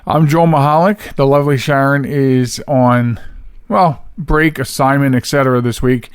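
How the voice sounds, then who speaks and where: A man's voice: mid-range voice, steady tone, normal speed, clean tone, no room sound, clean studio sound. A man hosting a podcast, talking casually and rambling a little as he introduces the show. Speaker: podcast host.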